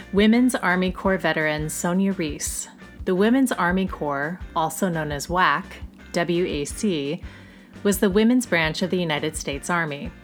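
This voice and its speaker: A woman hosts a podcast, reading her introduction and questions in a clear, prepared way. Her voice sits fairly low for a woman. Soft music plays faintly underneath. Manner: clear, read-aloud delivery. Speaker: podcast host.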